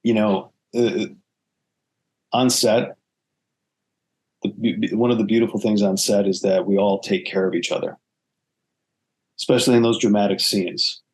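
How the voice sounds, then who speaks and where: Low-voiced, husky man in reflective conversation. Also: steady tone. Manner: earnest, halting, restarting phrases. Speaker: actor, interview guest.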